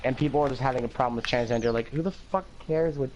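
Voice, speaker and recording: A teenage man chatting on a livestream, with a higher-pitched voice, an occasional lisp and many "like"s and curses. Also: fast, muffled recording, a little hiss or hum. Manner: talkative, rambling with many "like"s, swearing, animated laughs. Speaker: livestream host. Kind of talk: casual chat on a game livestream.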